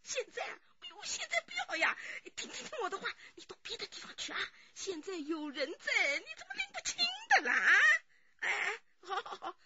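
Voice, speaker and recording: A woman's voice: very high voice, huge pitch swings, moderate pace, noisy background, heavily acted. An actress playing an anxious, evasive old mother in a radio drama, wailing, sighing and repeating herself as she protects her son. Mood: panicked, distressed. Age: older. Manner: flustered, wailing, repeats herself, many sighs. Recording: slightly dull studio radio drama sound.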